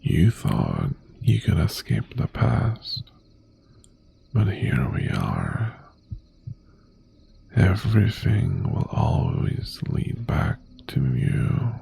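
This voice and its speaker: A man playing an obsessive mafia boss ex-boyfriend in an intimate ASMR roleplay, softly threatening and possessive yet tender, in a gravelly half-whisper. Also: low voice, wildly swooping pitch, a little hiss or hum, heavily acted. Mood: quiet menace. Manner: slow, deliberate, softly threatening.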